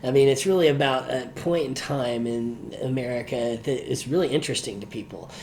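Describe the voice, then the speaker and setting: A man's voice: medium pitch, a little fast, a little mellow. A man co-hosting a podcast, giving his opinions in a casual, conversational way. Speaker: podcast co-host. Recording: faint background noise.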